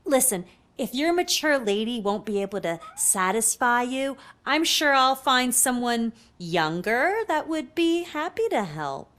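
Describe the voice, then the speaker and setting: A brash, flirtatious hotel desk woman in a video game. She is sassy and teasing, with a highish voice that swings widely in pitch. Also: expressive, measured pace, few pauses, fairly bright tone.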